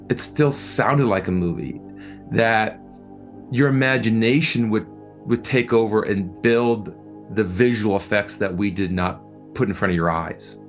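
A man answering interview questions as a producer, speaking thoughtfully and conversationally, often halting and repeating words. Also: fairly low pitch.

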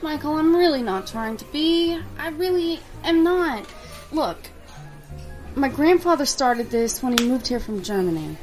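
A woman's voice: high voice, lively intonation, a little slow, faint music behind. A woman playing an anxious, evasive fiancée in an audio drama, speaking hesitantly and guardedly. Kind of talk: scripted audio drama acting.

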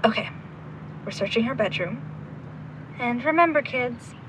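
A woman playing a brisk, wry young woman who takes charge of an amateur sleuth team in an audio drama. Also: a little high, animated, heavy background noise. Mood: brisk, focused. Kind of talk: audio drama acting, naturalistic.

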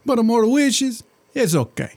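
A man's voice: very high-pitched, very animated, measured pace, creaky, a little mellow, heavily acted. An actor playing a jolly, fatherly Italian genie who cooks, in a put-on Italian accent with broken English. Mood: jovial. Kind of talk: comedy audio drama.

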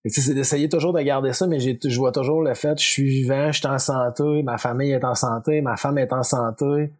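A man from Quebec with a Quebec French accent, a podcast guest answering casually, halting and repeating words. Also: mid-range voice, steady tone, a little mellow, husky.

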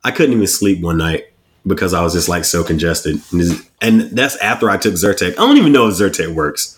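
A man co-hosting a casual chat, blunt and profane, talking with animated swings. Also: low voice, brisk. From Washington DC area. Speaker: podcast co-host.

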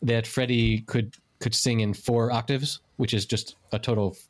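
A man's voice: medium pitch, fairly even intonation, moderate pace. Hesitant male podcast host. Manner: hesitant, stammering restarts. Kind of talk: casual chat.

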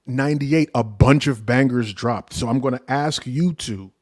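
A man's voice: medium pitch, moderate pace, a little mellow, no room sound, studio quality. A man in his forties hosting a casual podcast from home, bantering with playful, profane mock outrage. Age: 40s. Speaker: podcast host.

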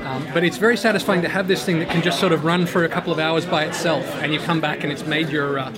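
A man's voice: on the high side, steady tone, fast. A man with a slightly worn voice, chatting enthusiastically about his project in an interview at a busy fair. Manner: chatty, enthusiastic, many restarts. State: voice getting worn. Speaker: maker showing his project. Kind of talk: casual interview at an event. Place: busy indoor fair hall. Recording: loud crowd noise behind. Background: loud crowd chatter.

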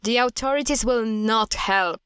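A woman's voice: a little high, unhurried, fairly bright tone, clear, resonant tone, no echo, studio quality. A woman playing a blunt, guarded cult hunter in an audio drama, grave and matter-of-fact. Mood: firm.

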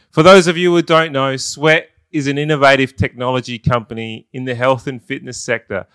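A man hosting a podcast, reading an upbeat intro and then asking relaxed interview questions. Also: medium pitch, clean tone, no echo. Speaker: podcast host.

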